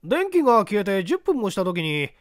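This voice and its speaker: A man's voice: high voice, big pitch swings, normal speed, no echo, clean studio sound, pitched above the performer's own voice. A man voicing an earnest male labourer giving emphatic witness testimony in an audiobook reading. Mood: earnest, urgent. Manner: emphatic, insistent.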